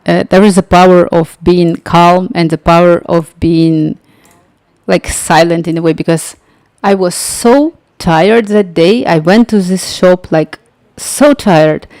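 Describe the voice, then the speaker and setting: A woman's voice: fairly low pitch, a little mellow, dry recording. Chatty woman full of "like" fillers. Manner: chatty, many "like" fillers, halting phrasing. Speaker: livestream tutorial host. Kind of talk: casual storytelling chat.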